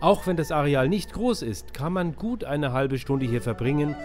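A man's voice: fairly high pitch, animated, normal speed, over music. A man in his forties presenting a travel vlog, talking and narrating as he shows places. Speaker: travel vlogger.

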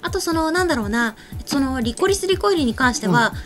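A woman with a high, animated voice chats casually as a show co-host. She talks quickly about anime and games at a studio microphone, with faint music behind her. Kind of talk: casual anime and game discussion. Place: small indoor studio set. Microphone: desk microphone.

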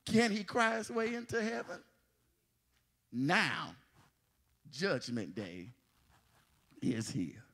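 A man preaches his narration in a bright, forceful voice with sweeping pitch. He is slow, dramatic and declamatory. Music sits behind him in a live church hall. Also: animated, creaky. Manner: declamatory, dramatic, swelling to shouts. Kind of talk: theatrical sermon-like narration. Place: large church hall, at a lectern. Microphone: handheld microphone.

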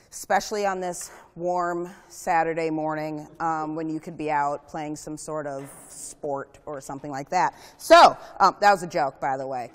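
A young woman opens a workshop in a chatty, self-deprecating way with joking asides. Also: fairly low pitch. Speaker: workshop presenter, service center director. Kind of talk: presenting a workshop. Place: large parish hall. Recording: long hall reverb.

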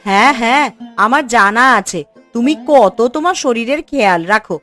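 A woman's voice: on the high side, animated, moderate pace, a bit bright. A woman voicing a nagging but caring village housewife in a cartoon story. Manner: scolding, sarcastic. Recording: music bed behind.

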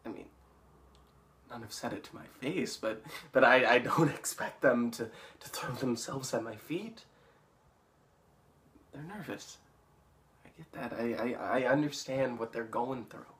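A man's voice: mid-range voice, fairly bright tone, lightly acted. Man acting awkward, stammering, resentful teenage boy. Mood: nervous, self-deluding. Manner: stammering, halting, nervous laughter. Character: awkward, bullied teenage boy, nervous, resentful, darkly unhinged. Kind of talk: dramatic stage monologue.

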